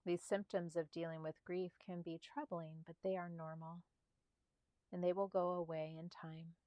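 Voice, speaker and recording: Soft, calm woman guiding meditation, low-pitched. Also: no room sound, lo-fi sound. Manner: slow, calm, soothing delivery with spaced short phrases. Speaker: meditation guide. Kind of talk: guided meditation narration.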